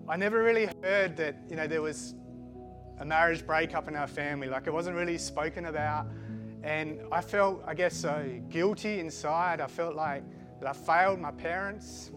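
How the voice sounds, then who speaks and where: A middle-aged man born in Switzerland and raised in Australia, with a mixed Australian accent, giving a halting personal testimony full of fillers and restarts. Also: a little high. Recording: music playing behind the voice.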